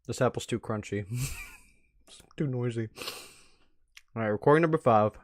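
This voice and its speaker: A man doing casual live commentary as a streamer, talking in a slangy, excitable way with mild substitute swears. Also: mid-range voice, moderate pace, no echo, studio quality. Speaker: streamer reacting to videos.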